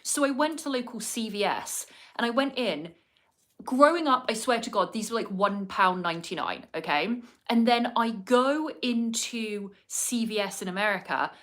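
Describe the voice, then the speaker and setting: A woman's voice: a little high, lively intonation, normal speed, fairly bright tone. English woman, casual livestream chat, rambling, filler-heavy, talks to viewers while doing makeup. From England. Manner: chatty, rambling, with many fillers and asides to the chat. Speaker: livestreaming vlogger. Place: home indoors in cold winter weather.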